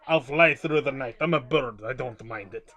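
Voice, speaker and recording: Reader doing a mean storybook eagle, low, cocky, sneering. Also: moderate pace, a bit bright, natural voice. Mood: dismissive, gloating.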